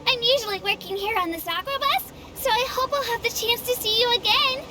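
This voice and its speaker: A woman voicing a small, cheerful, childlike fairy creature in an anime game, squeaky, bubbly and polite. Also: a little fast. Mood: grateful, cheerful. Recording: music behind the voice.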